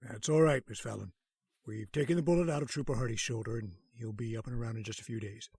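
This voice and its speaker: Radio drama: authoritative doctor, gravelly and husky, measured delivery, dry close sound. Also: male voice, medium pitch, a little mellow, no echo. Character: calm, learned hospital doctor. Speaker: voice actor. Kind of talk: old-time radio drama acting.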